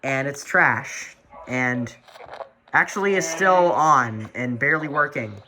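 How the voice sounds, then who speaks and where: A man's voice: medium pitch, slow, a crowd in the background. A young man hosting an off-the-cuff show-and-tell, rambling and repeating himself with blunt opinions. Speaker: video host showing a radio collection.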